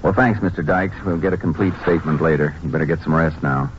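An actor playing a calm, businesslike male Texas Ranger, laconic and matter-of-fact, in a western radio drama. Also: rumbling low, quick pace. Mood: calm, inquiring. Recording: muffled, hissy 1950s radio recording.

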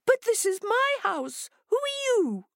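A woman's voice: very high voice, sing-song, unhurried, gravelly, fairly bright tone, dry recording, clean studio sound, pitched above the performer's own voice, heavily acted. A woman voicing a timid female hare in a storybook tale, plaintive, wailing and easily frightened.

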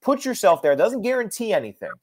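A man's voice: sits high, sing-song, quick pace, darkish tone, breathy edge, no echo. A man talking sports as a guest analyst, explaining his points emphatically and repeating phrases to drive them home. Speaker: radio play-by-play announcer, guest analyst.

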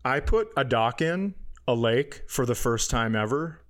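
A man's voice: medium pitch, lively intonation, measured pace, fairly bright tone. A middle-aged man co-hosting a podcast, telling casual comic anecdotes with swearing and acted-out quotes. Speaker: podcast co-host. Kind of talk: casual comic storytelling chat.

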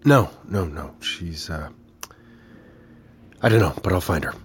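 An actor playing an anxious middle-aged father and security chief in a naturalistic audio drama, halting but reassuring. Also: low-pitched, expressive, slight background noise. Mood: harried.

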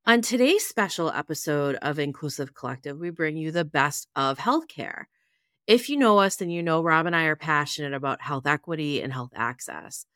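Deep smooth-voiced woman podcast host. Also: lively intonation, moderate pace, bright, forceful tone, no echo, clean studio sound. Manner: upbeat, enthusiastic. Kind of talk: scripted podcast introduction.

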